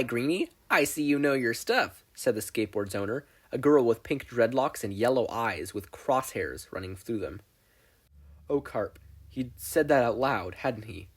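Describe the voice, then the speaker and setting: A podfic narrator reading a scripted story aloud in an expressive storytelling style. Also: mid-range voice, breathy edge.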